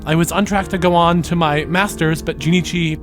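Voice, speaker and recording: A man playing an earnest, tentative young graduate in an audio drama, soft-spoken and halting. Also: a little high, fairly even intonation, music in the background, natural voice. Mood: troubled, reflective.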